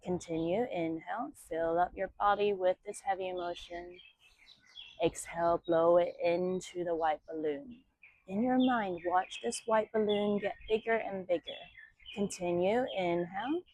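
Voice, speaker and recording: A woman teaching yoga, guiding meditation and breathing in a soothing, measured way with long pauses between short phrases. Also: lowish voice, a little mellow, slight background noise. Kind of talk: guided meditation and breathing instruction.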